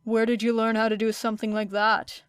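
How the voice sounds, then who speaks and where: A woman's voice: fairly high pitch, completely flat delivery, fairly quick, smooth, no echo, lightly acted. Casting: stern, fed-up male mentor in an audiobook story played by a woman, curt and incredulous, dry close sound. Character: stern, exasperated adult male hero teacher.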